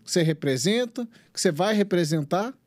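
High-voiced earnest male interviewer. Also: lively intonation, fairly quick, darkish tone, no echo. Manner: earnest, rambling, repeats himself. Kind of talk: interview questioning.

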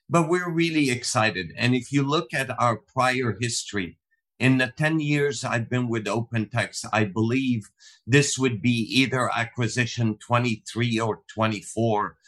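A man speaking as a tech executive in an interview, measured and corporate in tone, with slightly non-native grammar. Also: mid-range voice, fairly bright tone. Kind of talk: interview answers.

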